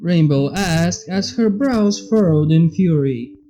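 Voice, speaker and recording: A man's voice: fairly high pitch, expressive, takes it slowly, dark tone, lo-fi sound. A man reading fan fiction aloud in a scripted narrating style. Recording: music under the voice. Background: background music.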